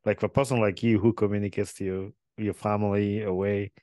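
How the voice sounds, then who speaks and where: A man's voice: lowish voice, little pitch movement, fairly quick, mellow, no echo. A man hosting a casual podcast interview, easygoing and curious, often restarting his questions. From Uganda. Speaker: podcast host.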